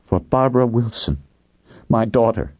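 A man voicing a grieving middle-aged woman set on revenge in an audiobook, calm and deliberate. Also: medium pitch, dry recording, voice pushed up, close to an everyday voice. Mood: cold resolve. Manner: calm, murmuring, deliberate, snapping when challenged.

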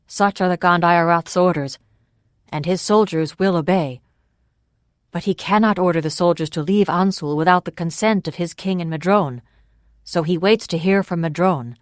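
A woman voicing a solemn male orator in an audiobook, addressing a vast crowd in a ringing, clear, slow and formal declamation. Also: lowish voice, dry recording, clean studio sound, pitched above the performer's own voice, natural voice.

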